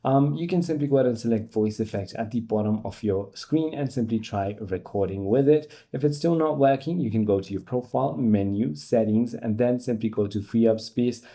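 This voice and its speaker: A man's voice: medium pitch, a little fast, few pauses, mellow. A man narrating a how-to tutorial as its host, in an instructional, step-by-step manner.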